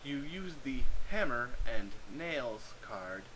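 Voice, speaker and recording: A man with a mid-range voice narrates a story in a theatrical amateur style. He reads scripted second-person narration and commands with deliberate shifts in delivery. Clear noise sits on the recording. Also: mid-range voice, sing-song. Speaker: amateur narrator of a webcomic reading.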